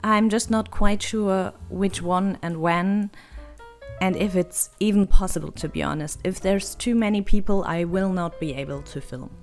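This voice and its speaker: Young woman doing travel vlog voiceover, hoarse and raspy w vocal fry, rambly and chatty, soft music under it. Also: mid-range voice, moderate pace. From Germany. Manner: chatty, rambling, self-deprecating. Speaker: travel vlogger. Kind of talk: voice-over narration.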